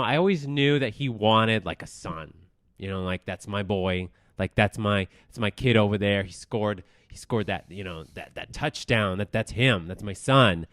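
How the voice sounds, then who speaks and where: A man's voice: lowish voice, a bit bright, no room sound, studio quality. A man in his thirties from Colorado, a guest who speaks nervously and hesitantly about his past. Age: 30s. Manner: nervous, hesitant, halting with fillers. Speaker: guest, news manager. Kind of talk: personal interview.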